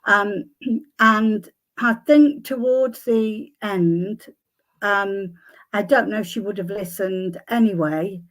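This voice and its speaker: An older woman, a psychic guest, answering questions with many pauses and restarts, her voice a little croaky. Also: medium pitch. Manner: hesitant, many ums and restarts. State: slightly croaky voice. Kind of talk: conversational interview answers.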